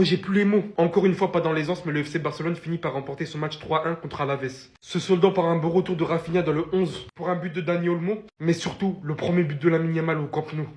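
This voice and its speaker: A man giving excitable, amazed football commentary in a video. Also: high-pitched, echoey room. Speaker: football YouTuber.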